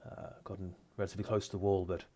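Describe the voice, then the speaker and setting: A man voicing a formal male knight giving a measured briefing in a tabletop game. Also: low-pitched, flat delivery, warm tone. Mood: grave.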